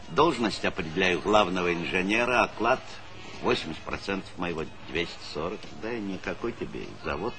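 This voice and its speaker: A man's voice: lowish voice, natural voice. An actor playing a hearty, middle-aged collective farm chairman with a folksy, businesslike manner in a stage comedy. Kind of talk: Soviet stage comedy acting.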